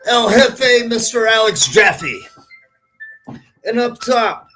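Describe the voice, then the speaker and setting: A man's voice: sits high, rough recording. A man hosting a casual live podcast, with a showy, announcer-like way of talking. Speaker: podcast host.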